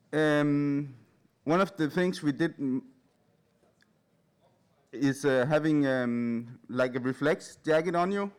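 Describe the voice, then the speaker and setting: A man from Denmark with a Danish accent, giving an informal, anecdotal talk in a nervous, hesitant, halting and giggly way. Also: on the high side, clear, resonant tone. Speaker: speaker at a live event. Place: in front of a large live audience.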